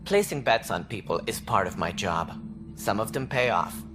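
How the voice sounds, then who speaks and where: An actor playing a calculating, self-assured alien director in a video game, speaking in a measured, formal, condescending way. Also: male voice, on the low side, expressive, over music, natural voice. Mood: cool, challenging. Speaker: director of a space initiative. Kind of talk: video game cutscene acting.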